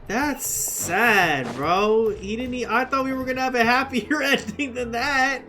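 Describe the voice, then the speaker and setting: A woman's voice: fairly high pitch, measured pace, bright, forceful tone, soft background music. A woman casually reacting as she plays a game, talking in an informal, slangy way. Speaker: gameplay streamer.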